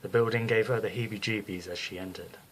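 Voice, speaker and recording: A man narrating a scripted bedtime story as the storyteller, in a measured, descriptive way. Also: fairly low pitch, steady tone, slight background noise, poor audio.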